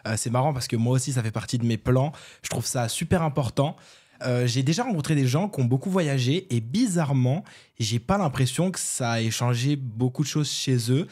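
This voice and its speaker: A man's voice: mid-range voice, expressive, a little fast, darkish tone, slightly rough. A man from France, speaking casually and conversationally as an interviewer.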